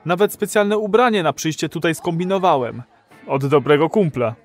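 An actor playing a nervous, naive first-time client in an underground, hesitant and earnest, in an audio drama. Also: male voice, a little high, normal speed, soft background music, lightly acted. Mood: defensive, puzzled. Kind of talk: radio drama acting.

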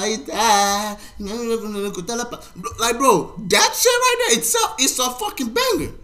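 A young man in his twenties reacting to music from his bedroom, loud and excitable, full of slang, swinging between shouting and muttering. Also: sits high. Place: bedroom, indoors, gaming chair.

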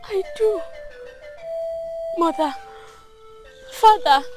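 A woman's voice: extremely high pitch, music in the background, exaggerated voice. An actress with a Nigerian accent playing a terrified young village woman, tearfully pleading for help in a film drama. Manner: pleading, drawn-out, desperate.

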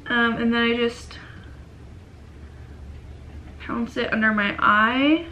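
A young woman in her twenties with a cold, chatting casually through a makeup tutorial in a dim bedroom, often trailing off into quiet murmurs. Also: a little high, fairly even intonation, slow, slight background noise. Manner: chatty, filler-heavy, drifts into quiet murmurs while working. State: sick and sniffly. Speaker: beauty vlogger.

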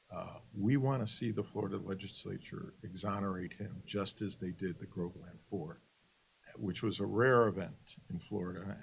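An older man, a documentary co-creator, explaining in a measured, factual way as a guest in a studio interview, on a desk microphone. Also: sits low, gravelly, a little hiss or hum. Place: small TV studio set. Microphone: desk microphone on a boom.

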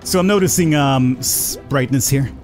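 A man's voice: medium pitch, moderate pace, soft background music. A man giving casual, wry commentary as he plays through a game. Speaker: gaming video host.

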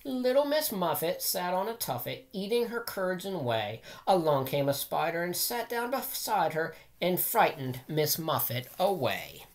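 A man's voice: high-pitched, very animated, measured pace, few pauses, slight background noise. A middle-aged man speaking simply and directly to young listeners, as a teacher does in a casual read-aloud. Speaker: teacher reading aloud to children. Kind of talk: casual read-aloud of nursery rhymes for children. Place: small room at home, indoors.

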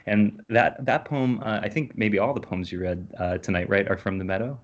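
Male host for an online poetry night, low-pitched, casual and appreciative, slightly muffled call audio. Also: fairly even intonation, normal speed. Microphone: video call. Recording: dull, lo-fi video call audio.

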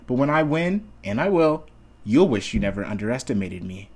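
A man's voice: medium pitch, sing-song, moderate pace, darkish tone, husky. A man playing a cocky, determined young hero with a confident, dramatic delivery. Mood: confident, taunting.

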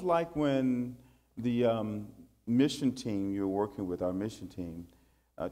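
Mellow-voiced pastor asking questions. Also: male voice, lowish voice. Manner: curious, prompting questions. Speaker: pastor interviewing a guest. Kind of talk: interview questions. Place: large church sanctuary.

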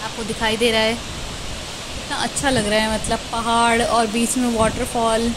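A woman's voice: on the high side, level tone. A woman vlogging as she walks a mountain trail, cheerfully pointing out the sights to her viewers. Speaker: travel vlogger. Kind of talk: walking travel vlog commentary. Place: outdoors on a mountain trail near a waterfall. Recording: outdoor phone audio with water noise. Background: rushing water.

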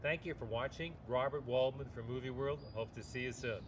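A man's voice: medium pitch, fairly quick. An older man hosting a casual movie review to camera outdoors in a sunny garden, chatty, with many asides. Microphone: camera at a distance. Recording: outdoor noise, birds and traffic behind.

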